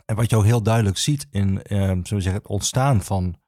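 Male expert guest: dark, soft-edged delivery, very dry close sound, halting explanatory phrasing. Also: on the low side, no echo. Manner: halting, hesitant phrasing with self-corrections. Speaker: archivist, podcast guest. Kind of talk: explanatory interview talk on history.

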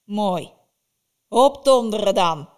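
A woman's voice: on the high side, expressive, unhurried. Woman playing a fierce old witch. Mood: gruff. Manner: loud, stern, scolding.

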